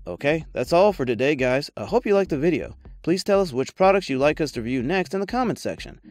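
A man's voice: on the high side, very animated, fast, darkish tone, dry recording. A man reading a scripted product review voice-over in a polished, promotional manner. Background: background music.

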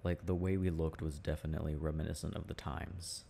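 A man's voice: extremely low pitch, level tone, brisk, gravelly, mellow, a little hiss or hum. A young man in an intimate ASMR boyfriend roleplay, chatting casually and affectionately with frequent chuckles. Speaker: boyfriend roleplay audio performer.

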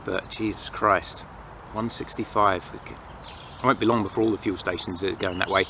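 A man's voice: low voice, fairly even intonation, normal speed. A man in his thirties with an English accent, rambling casually and reflectively to camera with his opinions while outdoors in a forest. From England. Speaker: outdoor vlogger. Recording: noisy outdoor ambience, distant planes and traffic.

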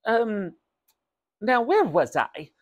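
A man's voice: high voice, sing-song, drawn-out words, pitched above the performer's own voice, heavily acted. A man voicing a pushy, self-important mother in a children's storybook, insistent and pompous.

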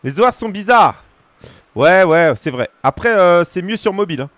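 A man in his thirties from France, streaming a football game and chatting casually with viewers, breaking into shouts at big moments. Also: high-pitched, moderate pace, no echo. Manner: excitable, shouts at goals, chats casually with viewers. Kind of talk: live gaming commentary to chat. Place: small room at home.

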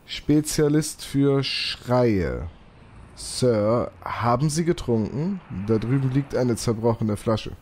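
An actor playing a polite, inquisitive policeman in a German audio drama, matter-of-fact and calmly suspicious. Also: mid-range voice, takes it slowly, a little hiss or hum.

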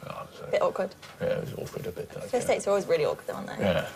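A young man with a Welsh accent giving short, halting replies in casual chat and admitting he struggles with small talk. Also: sits high, wildly swooping pitch, a little mellow, a little hiss or hum. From Wales. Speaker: dating show participant. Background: music.

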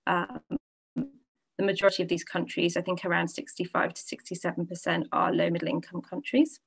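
Woman webinar presenter, low flat voice with vocal fry, steady delivery. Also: rough recording. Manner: steady, with "um" pauses and restarts. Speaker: pharmaceutical policy director, webinar speaker. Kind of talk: presentation on a video call.

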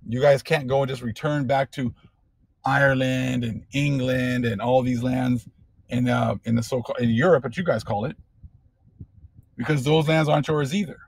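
A man's voice: mid-range voice, gravelly, breathy edge. A man teaching scripture in a casual preaching manner, often checking in with listeners as he reads from a book page. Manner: conversational preaching with frequent "okay?" and "you know". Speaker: religious teacher.

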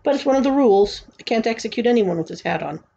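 A woman plays a storybook executioner who is friendly and reluctant. The delivery is pleasant and apologetic, slightly raised, with a mellow tone. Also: on the high side, lively intonation, fast talker, vocal fry, highs cut off. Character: friendly, reluctant, mild-mannered male executioner. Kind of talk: storybook read-aloud.

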